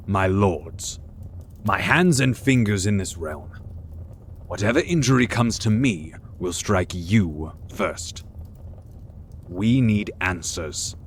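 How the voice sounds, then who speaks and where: A man acts out an irascible king for a fantasy audio drama. He is gruff and imperious, speaking slowly and emphatically with a gravelly edge, over faint background ambience. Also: lowish voice, slight background noise. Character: irascible, blustering, commanding king in council.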